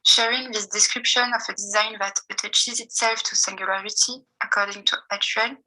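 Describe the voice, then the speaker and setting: Bright-voiced woman reading a paper. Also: medium pitch, steady tone, rough recording. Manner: careful, measured reading with pauses between phrases. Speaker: PhD student presenter. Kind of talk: reading a seminar paper aloud.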